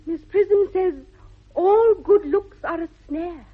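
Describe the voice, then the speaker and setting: A woman's voice: extremely high pitch, slow. An actress playing a sweet, naive but self-possessed English girl in a 1960s radio comedy, with prim, precise phrasing. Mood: coquettish. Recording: dull lo-fi vintage radio recording.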